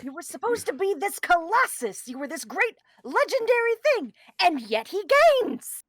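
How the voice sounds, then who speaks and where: A woman's voice: very high voice, sing-song, moderate pace, no room sound, studio quality. A woman voicing an arrogant, impatient male villain who scolds and commands in a live script read. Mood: exasperated scolding. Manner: imperious, exclamatory, scolding.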